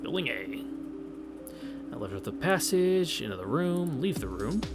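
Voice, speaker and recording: A man's voice: a little high, sing-song, a little slow. A man reading a visual novel aloud as a streamer, adding casual, irreverent and profane asides, with game music behind him. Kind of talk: read-through with casual asides.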